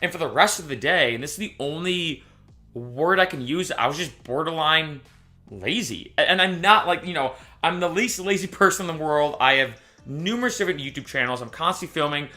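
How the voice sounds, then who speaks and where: A young man chats to camera in a casual vlog, his voice bright and a little high for a man. He talks in an animated way and keeps stopping to restart or correct himself. Faint music plays underneath. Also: a little fast. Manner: chatty, restarts and self-corrections, animated retelling. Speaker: YouTuber.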